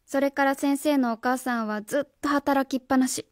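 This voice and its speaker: A woman's voice: sits high, fairly even intonation, brisk, no room sound, studio quality, natural voice. A woman playing an earnest, proud, idealistic schoolteacher in a radio drama, speaking formally and with indignation. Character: earnest, proud, idealistic female junior high school teacher. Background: music.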